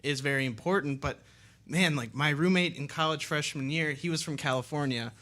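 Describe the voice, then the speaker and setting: A man's voice: a little high, brisk, sharp tone. A young man from the Milwaukee area hosting a casual radio interview, chatty and rambling with many fillers. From Milwaukee area, Wisconsin. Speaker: radio show host.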